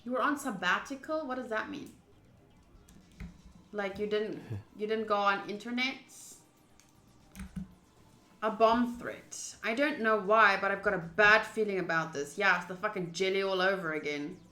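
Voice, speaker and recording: A woman livestreaming a visual novel playthrough, switching between casual chat and expressive reading of the game text aloud. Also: mid-range voice, normal speed, pauses often, echoey room. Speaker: streamer playing a visual novel.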